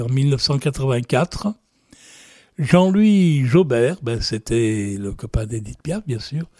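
A man's voice: medium pitch, a little mellow, dry recording, clean studio sound. A man hosting a radio music show, chatting casually to his listeners and correcting himself as he goes. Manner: chatty, addresses listeners directly; muses aloud and corrects himself. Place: radio studio.

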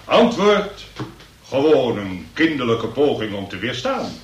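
Pompous magistrate in an echoey room. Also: male voice, medium pitch, big pitch swings, a little slow. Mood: lecturing. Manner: pompous, verbose, archaic legal phrasing. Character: pompous, long-winded male examining magistrate. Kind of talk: comic radio play acting, courtroom oratory. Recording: noisy, echoey room, distant from mic.

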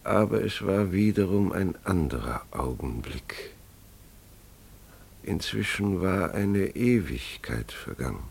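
An actor playing a grave, bewildered family father who tells his story haltingly and deliberately in a German radio play. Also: low voice, big pitch swings, creaky, a little mellow, slightly rough, heavily acted. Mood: hushed, bewildered.

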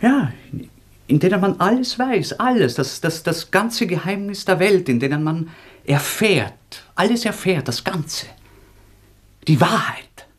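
An actor in a radio drama playing a feverish, obsessive young former student, halting and repeating himself with intensity. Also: male voice, sits high, expressive. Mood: obsessive, intense. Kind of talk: German radio drama acting.

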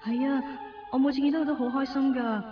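A woman's voice: high voice, steady tone, fast, very noisy, close to an everyday voice. An actress playing a devoted, sentimental young woman in a Cantonese radio drama, earnest and emotional, with a Hong Kong accent. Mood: tender, nostalgic. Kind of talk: 1990s Cantonese radio drama acting with first-person narration. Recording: distant, dull radio recording with music.

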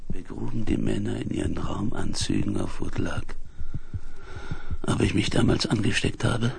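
An actor playing a dying, delirious space explorer in a radio play, halting and weak, with breath sinking into whispers. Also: male voice, sits low, big pitch swings, creaky, exaggerated voice. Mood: haunted, despairing. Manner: slow, halting, laboured delivery. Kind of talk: 1970s German radio play acting, dramatic monologue. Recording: hissy, humming 1970s vinyl recording.

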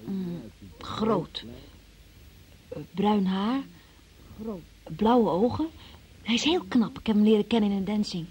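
A woman's voice: mid-range voice, lively intonation, slow, long pauses, a little mellow, breathy edge, full character voice. An actress playing a timid young female shop worker under questioning in a radio drama, hesitant, meek and defensive.

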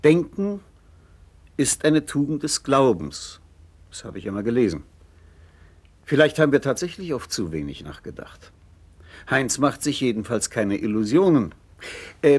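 A low, husky, thoughtful father. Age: middle-aged. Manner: measured, reflective. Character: thoughtful conciliatory middle-aged father narrating a family Christmas. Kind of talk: late 1960s German radio play acting, narration and dialogue.

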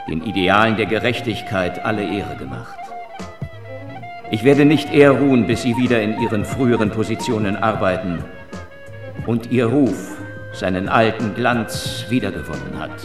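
Lowish-voiced solemn senator in echoing hall. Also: male voice, lively intonation, measured pace. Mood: solemn, resolute. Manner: formal, declamatory. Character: earnest, solemn male senator making a formal speech. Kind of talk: radio drama speech. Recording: echoing hall reverb, music underneath.

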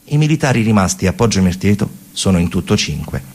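Male stage storyteller, low husky voice, conversational radio-theatre monologue with emphatic repetition. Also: very animated, quick pace, a little mellow. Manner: conversational, repeats phrases for emphasis, acts out quotes.

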